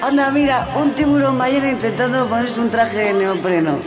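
An actress playing a sardonic, slangy, playfully tripping woman in a comic radio drama, expressive and with a Castilian Spanish accent. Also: on the high side, brisk, a crowd in the background, close to an everyday voice. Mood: amused, dazed. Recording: music behind the voice.

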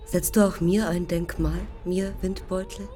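A woman's voice: fairly low pitch, little pitch movement, a little slow, warm tone, soft background music, natural voice. An actress playing a daring, intense young woman pilot who speaks in terse, staccato fragments, in a German radio play. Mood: challenging.

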